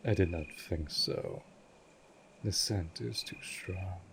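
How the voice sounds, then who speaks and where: A man performs an imperious, centuries-old vampire lord for a fantasy audio roleplay. His voice is low and creaky, with formal, disdainful phrasing and a cold, commanding temper. Clear night-time cricket ambience sits behind him. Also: slow, fairly bright tone, a little hiss or hum, full character voice. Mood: stern, disgusted. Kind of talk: scripted audio roleplay drama.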